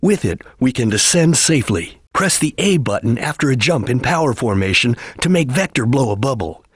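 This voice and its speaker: A man voicing a stern, disciplined ninja chameleon in a video game, with a solemn, formal, clipped delivery and a husky voice. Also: medium pitch, animated, normal speed, no room sound, clean studio sound. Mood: measured, instructive. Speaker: video game character giving battle lines and tutorials. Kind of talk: dramatic video game voice acting.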